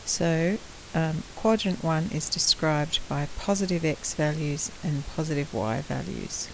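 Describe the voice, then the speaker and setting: Low, slow croaky woman teaching maths. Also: slight background noise, rough recording. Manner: slow, patient explaining, with frequent clearing of the throat. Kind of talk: recorded maths lesson for students. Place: at home, drawing on a laptop.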